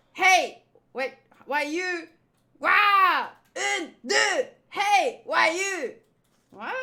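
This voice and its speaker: A woman's voice: extremely high pitch, vocal fry, sharp tone, exaggerated voice. A woman voicing a flamboyant, hot-tempered male pirate villain from a manga, theatrical, indignant and commanding. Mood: showy, indignant.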